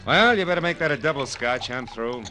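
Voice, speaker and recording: An actor playing a tough, world-weary, wisecracking private detective in a 1940s radio crime drama. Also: male voice, medium pitch, animated, fairly quick, natural voice. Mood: casual, sly. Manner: wisecracking, hard-boiled slangy talk. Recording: old radio broadcast with music behind.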